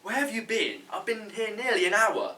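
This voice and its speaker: A petulant, self-important young man acted by a man in a comedy script. He whines indignantly in a highish, very animated voice, heard at a distance over faint room noise. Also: normal speed. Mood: huffy. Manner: indignant, whining.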